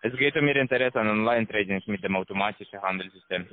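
A man with a foreign accent, not a native German speaker, giving a halting, repetitive telephone sales pitch as a call center salesman. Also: no room sound. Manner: halting, repetitive, broken grammar. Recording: tinny narrow phone line.

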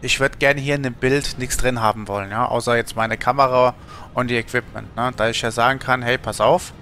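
A man's voice: mid-range voice, fairly even intonation, a little fast, bright, forceful tone, slight background noise. A man in his thirties from Germany, chatting casually on a gaming livestream in a rambling, colloquial way, thinking aloud. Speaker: streamer.

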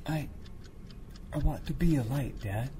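A man's voice: medium pitch, unhurried, warm tone, a little hiss or hum, lightly acted. A young man playing a nervous, hesitant son in an audio drama. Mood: anxious.

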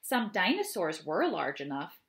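A woman in her thirties reading children's poems aloud in an expressive, deliberate way, with chatty asides. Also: lowish voice. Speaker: read-aloud host. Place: small home room, indoors.

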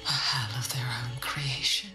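A woman's voice: extremely low pitch, steady tone. A woman playing a solemn, mysterious storyteller of nightmares in a video game, whispery and portentous. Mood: solemn, haunting. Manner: slow, portentous. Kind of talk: dramatic narration in a video game. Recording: echoing, music behind.

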